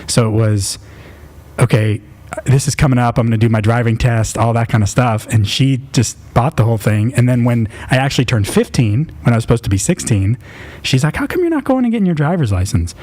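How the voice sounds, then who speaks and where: A man's voice: mid-range voice, lively intonation, fairly quick, a little mellow. A man in his late thirties hosting a podcast, chatting casually and telling personal stories in an informal, self-deprecating way. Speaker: podcast host.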